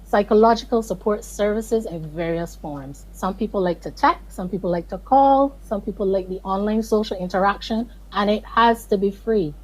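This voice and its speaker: A woman's voice: mid-range voice, moderate pace, hardly any pauses, breathy edge, rough recording. A Barbadian woman, a guest on a morning show, speaking earnestly and with emphasis. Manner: earnest, emphatic, pleading in places. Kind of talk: video call interview.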